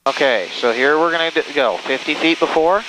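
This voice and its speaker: A man's voice: on the high side, a little fast, poor audio. A man giving hands-on flight instruction in a reassuring way, often checking that he is understood, through a cockpit headset. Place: small airplane cockpit in flight. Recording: loud engine noise over cockpit intercom.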